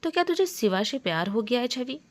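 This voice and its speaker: A woman's voice: fairly high pitch, sing-song, brisk, pitched above the performer's own voice. A woman voicing a chatty young best friend who scolds playfully and pries in a romance story. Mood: teasing, curious.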